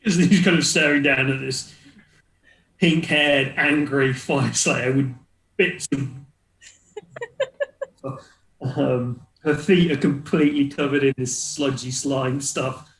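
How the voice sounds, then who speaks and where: A man's voice: mid-range voice, slow, long pauses, a bit bright, roomy sound, rough recording. A man speaking casually as game master, explaining rules and narrating scenes in a tabletop role-playing game.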